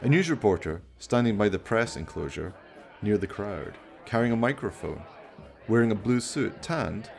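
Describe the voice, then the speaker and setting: A man's voice: on the low side, animated, normal speed, vocal fry. A man narrating a scripted radio play as its storyteller.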